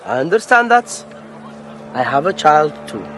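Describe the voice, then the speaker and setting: A man's voice: on the high side, sing-song, unhurried, fairly bright tone, some chatter behind. An actor playing a polite, insistent middle-aged merchant and father in a naturalistic audio drama, speaking a little hesitantly. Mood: coaxing. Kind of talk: naturalistic radio drama acting. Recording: noisy outdoor street ambience.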